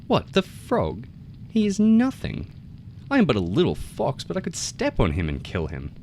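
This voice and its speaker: Scoffing little storybook fox, played by a man: pitched well up, incredulous, overconfident; some background noise. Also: huge pitch swings, normal speed, a little mellow. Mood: mocking. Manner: mocking, incredulous.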